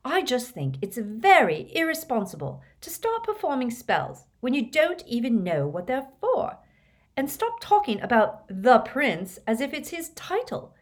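A woman's voice: sits high, huge pitch swings, measured pace, voice pushed up, heavily acted. Woman doing a bossy, lecturing schoolgirl. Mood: defensive. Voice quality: earnest, lecturing. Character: bossy clever schoolgirl, stubborn and indignant.

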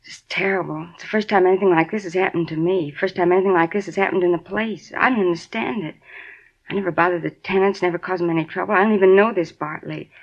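A flustered, rambling building manager. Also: female voice, on the low side, steady tone, quick pace. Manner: rambling, defensive, repeats herself. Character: flustered chatty middle-aged woman apartment manager. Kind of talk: 1950s radio drama acting. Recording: dull lo-fi 1950s radio broadcast.

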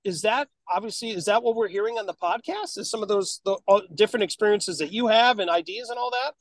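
A man's voice: high-pitched, quick pace, dry recording. A man co-hosting a podcast, chatting casually and asking interview questions. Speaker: podcast co-host.

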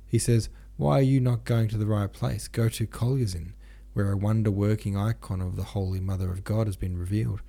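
A garrulous old pilgrim woman from a storybook, voiced by a man, rambling on with earnest piety. The voice is creaky and a little husky, and she is quick to admonish and easily shocked. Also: on the low side, fairly even intonation, warm tone. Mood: eager, garrulous. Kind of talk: audiobook character reading.

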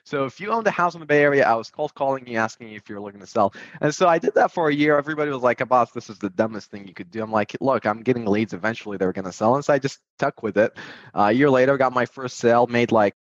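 A young man who grew up in Iraq tells his life story as a podcast guest, talking fast and eagerly, and acting out what he told himself along the way. The voice is mid-pitched. He comes through a dry, dull, lo-fi remote connection. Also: no echo. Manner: fast, energetic storytelling with quoted inner dialogue. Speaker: guest, real estate agent and investor.

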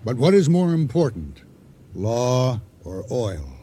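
Slow-talking, mellow, scheming sea rogue. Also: male voice, medium pitch, big pitch swings, slight background noise. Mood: persuasive, scheming. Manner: slow, deliberate, insinuating delivery with dramatic pauses. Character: boastful, scheming male sea-adventurer turned ship hijacker, silkily persuasive. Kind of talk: American radio drama acting, 1980.

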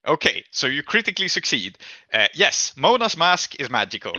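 A man's voice: a little high, sing-song, moderate pace, sharp tone, muffled recording. A man acting as game master, narrating a tabletop game in an improvised, conversational way.

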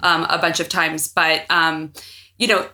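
A woman's voice: low voice, little pitch movement, unhurried, sharp tone. An American woman chatting as a podcast guest, rambling with lots of fillers like "like" and "you know.". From United States. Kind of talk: casual podcast chat.

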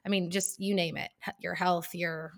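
A woman's voice: fairly low pitch, fairly even intonation, normal speed, vocal fry. A woman in her thirties co-hosting a podcast, upbeat and encouraging in a casual chat. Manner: upbeat and encouraging, with fillers and restarts. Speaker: podcast co-host.

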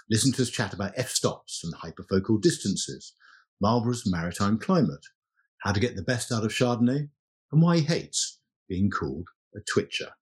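A man hosting a podcast, introducing and interviewing his guest in a brisk, chatty manner. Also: fairly low pitch, slightly rough. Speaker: podcast host. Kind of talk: interview host chat.

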